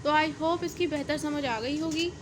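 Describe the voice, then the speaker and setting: A woman's voice: sits high, unhurried, background noise. A woman with a Pakistani accent giving an explanatory law lecture, mixing in English terms and rephrasing each point step by step.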